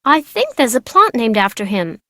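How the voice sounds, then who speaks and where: A woman's voice: fairly high pitch, sing-song, normal speed, sharp tone, no room sound, studio quality, full character voice. A woman voicing a chatty, precocious young girl from an aristocratic family in a video game, with casual, slangy, teasing talk. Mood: chatty, informative. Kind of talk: video game character dialogue.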